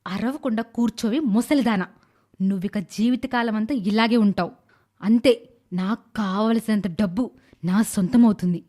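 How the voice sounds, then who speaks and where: A woman voicing a greedy, cruel young daughter-in-law in an animated moral story, calculating and dramatic. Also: medium pitch, normal speed, lightly acted. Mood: cruel, gloating. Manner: calculating, dramatic, self-pitying when pleading to others.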